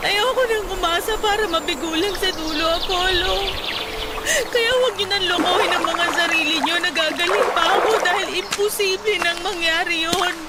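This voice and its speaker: An actress voicing a gravely ill young woman, weary and bitter, in a radio drama. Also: very high-pitched, moderate pace, heavy background noise. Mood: despairing. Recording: music bed under voice.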